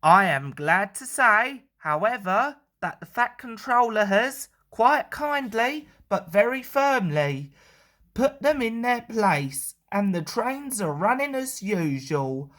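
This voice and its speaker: A woman's voice: mid-range voice, sing-song, slow pace, fairly bright tone. A woman narrating a children's storybook as a storyteller.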